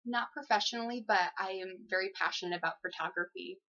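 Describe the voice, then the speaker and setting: A dull, lo-fi recording of a young woman with a medium-pitched voice. She speaks politely and conversationally, like an applicant in a job interview. Her manner is easygoing and a little giggly. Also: brisk, bright, forceful tone, a little hiss or hum. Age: young adult.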